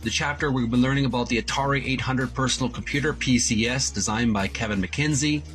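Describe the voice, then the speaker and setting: A man's voice: medium pitch, brisk, a bit bright, soft background music. A man hosting a channel, reading passages from a book aloud and adding his own remarks, sometimes stumbling and correcting himself as he goes.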